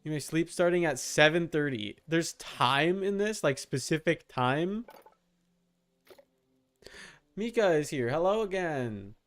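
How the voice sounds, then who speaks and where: A young adult man chatting casually as he streams a game, rambling and halting with repeated words. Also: a little high, lively intonation, fairly bright tone. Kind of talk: casual livestream chat while gaming.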